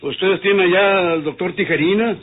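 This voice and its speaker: An actor playing a proud, indifferent, ageing rich doctor in an old radio drama, speaking formally and indignantly. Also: male voice, high-pitched, normal speed, natural voice. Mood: haughty, dismissive. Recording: tinny narrow-band old radio drama, faint noise.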